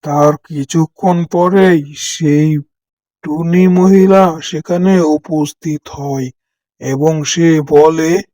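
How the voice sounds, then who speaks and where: A man narrates a scripted story for children. His voice sits a little high and he takes his time. The recording is very dry, with no room sound. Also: steady tone, very slow, warm tone, no echo.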